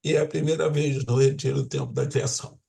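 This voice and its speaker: Brief: man with gravelly, dark voice; polite, formal intro on a video call. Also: mid-range voice, steady tone, fairly quick. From Rio de Janeiro, Brazil. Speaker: retreat participant.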